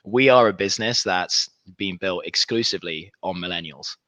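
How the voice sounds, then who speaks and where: A man's voice: deep voice, normal speed, bright, forceful tone, no echo. A man in his thirties with a cold, speaking as an interview guest in a rambling, casual way with many restarts. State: congested with a cold. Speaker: guest, recruitment business leader.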